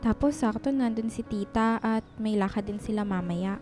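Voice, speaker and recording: An actress playing a chatty, teasing young best friend in a radio drama. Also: female voice, mid-range voice, moderate pace, darkish tone, soft background music, close to an everyday voice. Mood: teasing, friendly.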